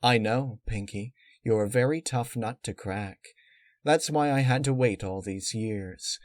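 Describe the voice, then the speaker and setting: A man voicing a cold, calculating female unicorn villain who explains menace in a measured, matter-of-fact way. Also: fairly low pitch, no echo, clean studio sound, lightly acted. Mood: menacing.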